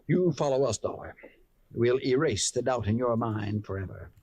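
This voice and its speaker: A man's voice: medium pitch, lively intonation, normal speed, over music, lightly acted. An actor playing a grandiose, earnest male showman with a theatrical, self-important manner in old radio comedy-drama style. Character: grandiose, earnest showman who trains singing mice.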